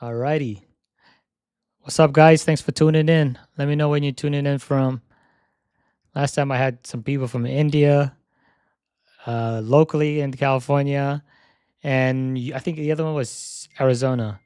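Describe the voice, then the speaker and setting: A young man live-streaming a flight sim session, chatting casually and thinking aloud as he sets up, his voice slightly hoarse. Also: mid-range voice, fairly even intonation, moderate pace, pauses often, darkish tone, dry recording, clean studio sound. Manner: repeats "yep" while checking items.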